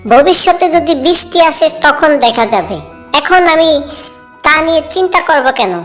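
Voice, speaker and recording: A woman's voice: high-pitched, a bit bright. A woman voicing a bad-tempered talking bird in a fairy-tale cartoon, scolding and asking mocking questions. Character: bad-tempered, scornful talking parrot and crow.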